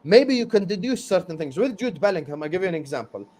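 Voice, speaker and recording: A man hosting a football stream, arguing his points emphatically and repeating words as he gets excited. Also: high-pitched, fast. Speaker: host of a football transfer stream. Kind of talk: casual football debate on a livestream.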